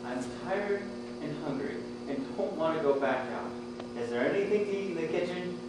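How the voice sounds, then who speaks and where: A man's voice: fairly high pitch, animated, normal speed, music in the background, natural voice. A man playing a tired, hungry, grumpy husband in a stage comedy, speaking in blunt, terse complaints. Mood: weary, sulky. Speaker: actor in a student one-act play. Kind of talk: live stage comedy acting. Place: theatre stage, distant microphone. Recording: very echoey, distant stage recording.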